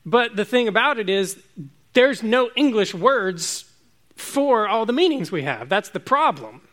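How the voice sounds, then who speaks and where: A man preaching a relaxed, informal sermon to his congregation, chatty and self-joking. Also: high voice, measured pace.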